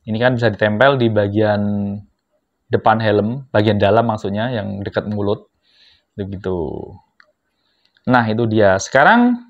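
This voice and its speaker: A man speaks in a dull, lo-fi recording, as in a homemade review video. He talks informally to his viewers, explaining things step by step in a casual unboxing style. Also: on the low side. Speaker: product reviewer, vlogger. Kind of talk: casual unboxing and product demonstration.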